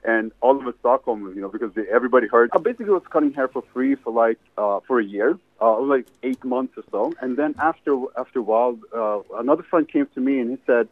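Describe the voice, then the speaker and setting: A man from Sweden, answering interview questions in a rambling, halting way with many restarts. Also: mid-range voice, no echo. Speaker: interview guest, entrepreneur. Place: salad bar. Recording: tinny phone line.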